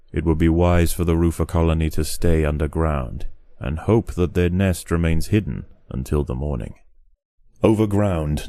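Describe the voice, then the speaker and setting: A man narrating in a scripted nature documentary style for a video game, measured and grave. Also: low voice, warm tone, dry recording, clean studio sound.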